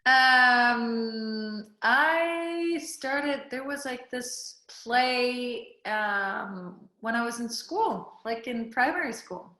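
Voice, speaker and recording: A Danish actress in her thirties, a guest in a casual interview, speaking with pauses and searching for words, laughing often. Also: on the high side, expressive, bright, forceful tone. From Denmark. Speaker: guest actor. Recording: roomy echo.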